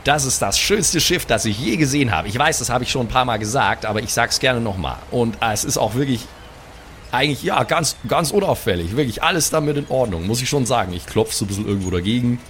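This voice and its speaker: A man's voice: medium pitch, expressive, fast, a bit bright, slight background noise. A man improvising his character in a tabletop role-playing game, talking in an enthusiastic, teasing way.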